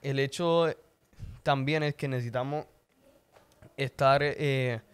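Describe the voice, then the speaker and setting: A man who is a native English speaker, talking casually about faith as a podcast co-host, often pausing to find words and slipping into English. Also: mid-range voice, steady tone, clear, resonant tone, no room sound. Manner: halting, searches for words, slips into English. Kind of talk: casual religious discussion.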